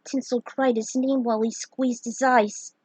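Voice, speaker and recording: A woman's voice: fairly high pitch, flat delivery, a little slow, a little mellow, breathy edge, no room sound, poor audio. Woman reading her own fan story. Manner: earnest amateur reading with small slips. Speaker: fan fiction author. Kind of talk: reading her own story aloud, then a casual afterword.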